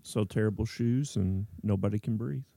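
A man's voice: fairly low pitch, moderate pace, gravelly, dark tone, no echo. A man hosting a podcast, chatting casually and joking at his own expense. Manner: self-deprecating, joking. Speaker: podcast host. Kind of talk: casual chat.

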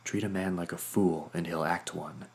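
An imperious antlered spirit woman, as a man reads her, cold and haughty, speaking in clipped, quiet remarks. His voice stays very deep and low. An audiobook telling. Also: fairly even intonation, normal speed, gravelly. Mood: disdainful.

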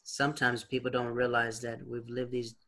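A man interviewing a guest in a relaxed way, asking probing conversational questions. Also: medium pitch, moderate pace. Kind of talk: casual interview.

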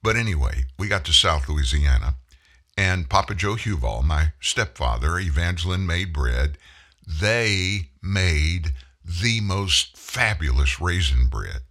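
A middle-aged man from Houston hosts his radio show from the studio, spinning chatty, folksy stories. His voice is very deep and husky. It still carries a forceful, bright edge. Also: expressive, takes it slowly. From Houston, Texas. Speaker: radio talk show host. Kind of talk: casual monologue. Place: broadcast studio. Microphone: studio microphone.

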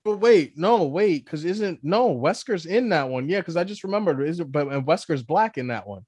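A man co-hosting a podcast in joking, casual chat, often starting sentences in halting, stammered bursts. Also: on the high side, animated, darkish tone, husky, no room sound. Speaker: podcast co-host. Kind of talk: casual joking chat about films and games.

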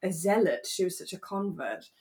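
A woman's voice: on the low side, expressive, normal speed, darkish tone. A woman co-hosting a podcast, chatting casually in an effusive, giggly manner about a radio drama. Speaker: podcast co-host.

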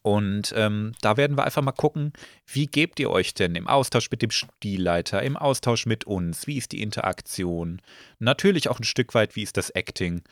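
A slightly hoarse man hosting a casual podcast, relaxed and full of filler sounds. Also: on the low side, normal speed, no echo, studio quality. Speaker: podcast host.

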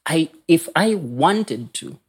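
A man's voice: fairly high pitch, lively intonation, slow pace, breathy edge. Kenyan man telling personal stories casually. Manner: mixes English and Swahili. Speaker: podcast guest. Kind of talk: casual confessional storytelling.

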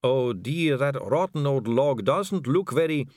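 A man voicing a suave, poised aristocratic female vampire, speaking slowly and silkily. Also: medium pitch, lively intonation, darkish tone, no echo, studio quality, voice pushed up, lightly acted. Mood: silky. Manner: slow, silky, languid delivery.

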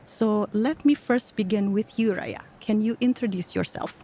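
A woman's voice: medium pitch, moderate pace, warm tone, slight background noise. A woman hosting a live podcast interview at an event, speaking in an upbeat, promotional way. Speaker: podcast host.

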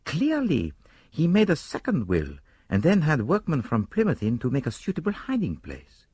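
An excitable detective. His voice leaps well above the reader's own and swoops theatrically. He is self-assured and fussy, full of formal, exclamatory turns in audiobook style. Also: fairly high pitch, moderate pace, slightly rough, dry recording, studio quality, voice pushed up, exaggerated voice. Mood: triumphant.

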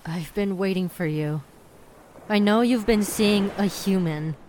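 Casting: actress as calm mermaid confidante, mid-pitched, unhurried and soothingly persuasive, audio drama over noisy ambience. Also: female voice, close to an everyday voice. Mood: knowing, calm. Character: calm, consoling female mermaid confidante, unashamed of killing.

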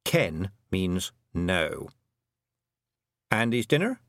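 A worldly male toad, voiced by a man, murmuring low and dry as if sharing a secret. His delivery stays close and lively in its turns, in a dry, close recording. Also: extremely slow, dry recording, full character voice.

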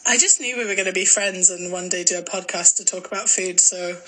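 A woman's voice: fairly low pitch, fairly quick, bright, forceful tone, low-quality recording. A woman in her twenties from Glasgow with a mild in-between accent, co-hosting a casual podcast chat, giggling and trailing off with fillers. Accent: mild, mixed Glasgow accent. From Scotland. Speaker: podcast co-host.

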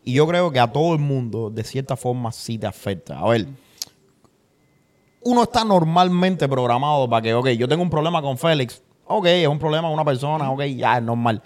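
A man's voice: medium pitch, lively intonation, brisk, a little mellow. A man hosting a podcast, chatting and joking casually with his guests. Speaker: podcast host.

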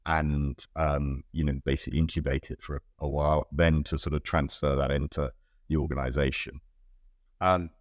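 Guest: British man, middle-aged, extremely deep voice, hesitant and considered. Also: no echo, studio quality. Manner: thoughtful, many ums and restarts. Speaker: guest, innovation director. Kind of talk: casual interview answers.

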